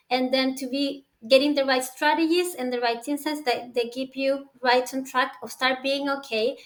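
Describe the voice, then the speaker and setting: This is a woman with a high-pitched voice and a Chilean Spanish accent. She is a course presenter introducing a programme. Her English is non-native and comes in halting phrases, as she finds her wording along the way.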